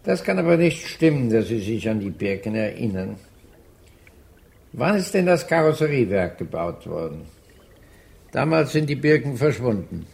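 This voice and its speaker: A creaky-voiced stubborn old man. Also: mid-range voice, big pitch swings, normal speed, a little mellow. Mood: doubting. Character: old man fishing, stubbornly argumentative, reminiscing. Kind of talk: German radio drama acting.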